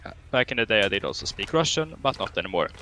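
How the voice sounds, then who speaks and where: Man, Swedish accent, young adult, stern drill tone, dry humor, bright edgy voice, faint noise behind. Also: fairly low pitch, big pitch swings, quick pace. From Sweden. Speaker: training officer. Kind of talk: roleplay police training briefing.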